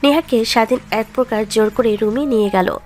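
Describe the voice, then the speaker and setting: A woman's voice: fairly high pitch, fairly quick, darkish tone. A woman narrating a scripted romantic story as its storyteller.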